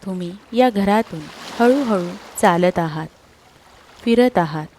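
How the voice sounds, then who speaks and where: A woman narrating a scripted sleep meditation in a soothing, unhurried manner, leaving long pauses between short phrases. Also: medium pitch, a little mellow, a little hiss or hum.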